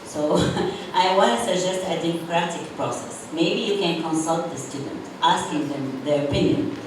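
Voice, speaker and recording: A woman's voice: lowish voice, moderate pace. A woman from Syria, an English speaker in her thirties, making an earnest comment during a university panel discussion. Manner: earnest, non-native phrasing. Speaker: audience member. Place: university auditorium. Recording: echoey hall, distant mic, noisy.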